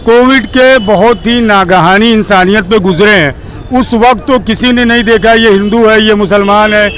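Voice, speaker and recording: A man's voice: high-pitched, moderate pace, a bit bright. A man, working as a news channel reporter and speaking formally and courteously in an outdoor interview. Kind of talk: street interview and sign-off.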